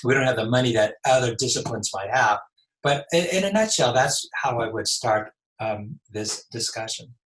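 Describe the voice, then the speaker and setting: A man in his sixties with a slightly raspy voice, a chiropractor giving measured explanatory answers in an interview. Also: medium pitch, expressive, a bit bright. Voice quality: a little raspy. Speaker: chiropractor guest. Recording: echoey room.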